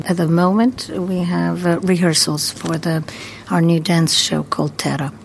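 A woman's voice: low-pitched, a little hiss or hum. A middle-aged woman from Croatia, a theater founder chatting hesitantly while giving a tour. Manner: chatty, hesitant with many ums. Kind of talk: guided tour interview.

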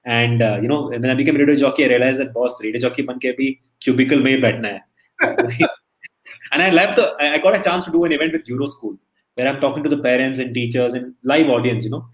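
A man's voice: mid-range voice, fast, low-quality recording. A middle-aged man from India, a guest in a casual interview, talking in a chatty storytelling way with many restarts. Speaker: interview guest, emcee and entertainer.

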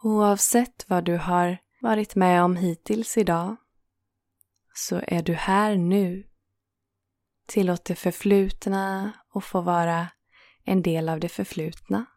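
A woman guiding a yoga nidra relaxation in a calm, soothing manner, leaving gentle pauses between phrases. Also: on the low side, no room sound, studio quality. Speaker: meditation guide and host.

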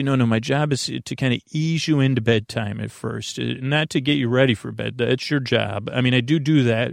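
A man with a gravelly, fry-laden voice records very close and dry. He rambles through an unscripted bedtime podcast introduction, circling back on himself and restarting his sentences as he goes. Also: medium pitch, no room sound. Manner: voices imagined listener objections and answers them. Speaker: podcast host.

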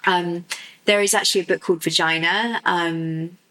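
A woman who works as a sexuality coach, explaining softly and unhurriedly as a guest in a podcast interview, with many fillers. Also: lowish voice, fairly even intonation, normal speed, rough recording.

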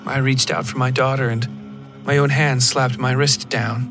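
A man giving a scripted first-person narration of dark horror fiction. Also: mid-range voice, flat delivery, normal speed. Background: music.

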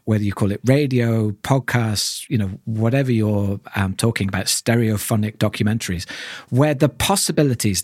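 Husky man, reflective podcast talk, dry sound. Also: mid-range voice, no echo. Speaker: podcast co-host. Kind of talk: casual analytical discussion.

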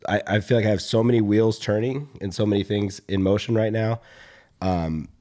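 Low-voiced, slightly hoarse young man chatting. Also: fairly even intonation, mellow. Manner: rambling, halting, swears casually. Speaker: podcast host. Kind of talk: casual chat.

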